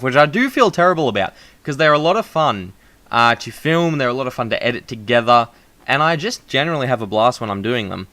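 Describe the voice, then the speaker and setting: A young man hosts a relaxed podcast chat. His voice is bright and carries loudly, and he often loops back on fillers and restarts his sentences. He has the easy tone of someone chatting with a friend. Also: medium pitch. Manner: loud, projecting voice; frequent fillers and restarts, laughs at himself. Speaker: podcast host. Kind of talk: casual chat.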